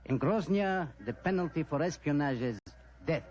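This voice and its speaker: An actor playing a solemn, menacing police minister, formal and deliberate, in old-time radio drama on an old recording. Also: male voice, on the high side, animated, dull audio, lightly acted. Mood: grave, menacing. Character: solemn, menacing Balkan police minister, smooth lady killer.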